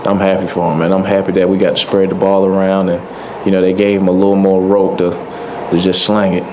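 A young man answering postgame questions at a table microphone, soft-spoken and laid-back, with many fillers. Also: low voice, level tone, a little fast. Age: young adult. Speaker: college football player. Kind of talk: postgame press conference answers. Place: press conference room. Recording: noisy press room audio.

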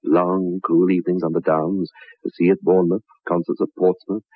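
An actor playing a suspicious, over-solicitous husband in a 1960s radio drama, coaxing and deliberate. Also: male voice, sits low, level tone, breathy edge, no echo. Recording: muffled, narrow-band 1960s radio recording.

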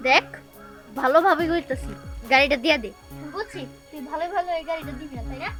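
Young bike thief played by an actor, very high-pitched, exclamatory, pushy yet cowardly, over music. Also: fast, close to an everyday voice. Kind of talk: amateur comic short-film drama. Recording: music behind the voice.

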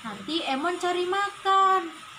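A woman voicing a kind, protective young monkey in simple childlike talk with drawn-out endings, for a children's puppet story. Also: extremely high pitch, noisy background, voice pushed up. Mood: caring.

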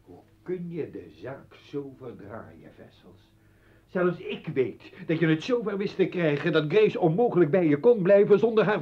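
Husky, indignant husband, muffled recording. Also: male voice, on the high side, darkish tone. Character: indignant, sarcastic husband defending his wife. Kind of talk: radio play acting. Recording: dull old radio recording.

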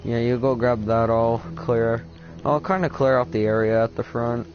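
A deep-voiced teenage boy chatting casually with a friend while playing a game, laid-back and swearing a lot. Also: steady tone, normal speed, lo-fi sound, soft background music. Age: teen. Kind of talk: casual gaming chat.

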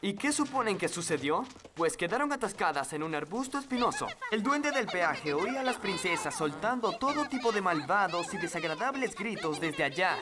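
A woman narrating a fairy tale in a children's cartoon, an expressive storyteller with music underneath. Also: on the low side, moderate pace, few pauses, a crowd in the background.

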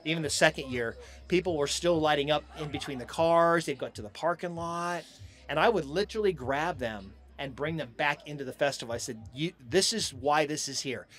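A middle-aged American man being interviewed casually outdoors on a handheld mic, speaking in halting, stop-and-go phrases. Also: fairly high pitch, fairly bright tone. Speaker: hemp farm owner being interviewed. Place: outdoors on a festival lawn. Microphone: handheld microphone.

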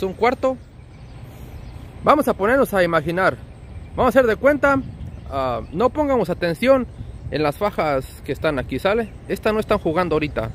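High-pitched, animated Mexican man. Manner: friendly, colloquial, with diminutives and slang. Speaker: trucker vlogger. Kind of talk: casual explanatory vlog. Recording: outdoor ambient and vehicle noise.

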